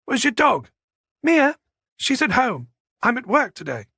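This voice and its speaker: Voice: medium pitch, sing-song, a little slow, vocal fry, bright, forceful tone, breathy edge, no room sound, studio quality, pitched above the performer's own voice, heavily acted. An audiobook narrator voicing a genteel, chatty woman with an old-fashioned, playful way of speaking. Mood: friendly. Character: genteel, chatty woman, a box office manager.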